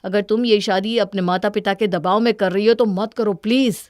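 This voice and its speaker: A woman's voice: medium pitch, steady tone, moderate pace, a little mellow, no room sound, clean studio sound, lightly acted. A woman voicing a hurt, guarded young husband in a cartoon story, with an earnest, plaintive manner. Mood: guarded, sombre.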